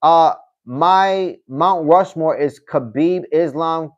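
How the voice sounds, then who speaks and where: A slightly high-voiced man hosts a casual livestream, chatting loosely with viewers and answering their comments. He talks in a relaxed, rambling way and keeps tossing in "you know what I mean." The audio is a bit dull and lo-fi. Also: takes it slowly. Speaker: livestream host.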